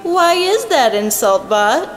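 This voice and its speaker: A perky female stage entertainer for an audio drama. Her voice is extremely high and wildly animated, with folksy, hammy patter. It rings with long hall reverb over faint music. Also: slow pace, heavy background noise, full character voice. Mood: cheery.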